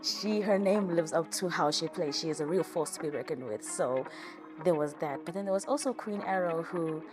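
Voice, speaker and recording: A woman's voice: low-pitched, normal speed. A woman gamer speaking in a documentary interview. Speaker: competitive gamer. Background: background music.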